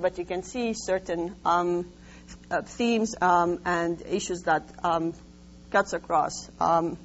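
A woman researcher presenting her findings in an academic talk, explaining in a measured way with frequent hesitant pauses. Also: fairly low pitch. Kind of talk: academic lecture, semi-scripted. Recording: dull lo-fi sound with faint hum.

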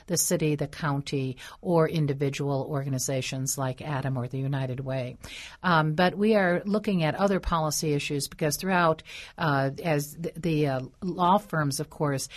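Woman radio guest, very deep voice, measured, lots of uhs. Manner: measured, many filler pauses. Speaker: nonprofit representative, studio guest. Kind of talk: radio interview. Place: radio studio.

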